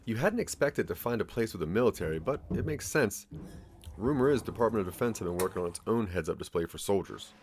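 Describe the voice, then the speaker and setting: A man's voice: lowish voice, lively intonation, fast talker, darkish tone, a little hiss or hum. A man narrating a scripted audio drama story, addressing the listener as its main character. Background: music.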